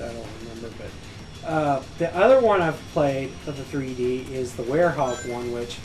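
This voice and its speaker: A man's voice: mid-range voice, rough recording. A man chatting casually as co-host of a video game playthrough. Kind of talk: casual chat over gameplay. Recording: game music behind the voice. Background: video game music.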